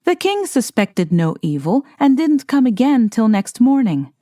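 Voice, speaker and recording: A woman reading a fairy tale aloud as an expressive, scripted narration. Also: a little high, a little slow, no room sound, clean studio sound. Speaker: storyteller, audiobook narrator.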